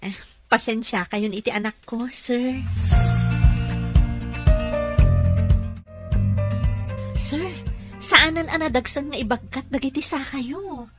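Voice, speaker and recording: A woman's voice: high-pitched, normal speed, sharp tone, faint music behind. A woman acting as a polite, earnest caregiver and protective mother in a radio drama, with an Ilocano accent.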